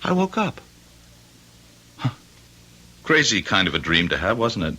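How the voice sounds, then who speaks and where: Humble starstruck man in radio drama, gushy n hesitant, really sharp husky voice. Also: on the low side, wildly swooping pitch, measured pace, heavily acted. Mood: wondering, confiding. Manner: hesitant, effusive, self-effacing. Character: starstruck, self-deprecating man, gushing and confiding. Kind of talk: old-time radio drama acting.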